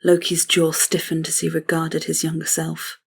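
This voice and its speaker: A woman narrates a dramatic tale in a lowish voice. Her tone is husky and breathy, and she keeps an even, measured line through the scripted prose.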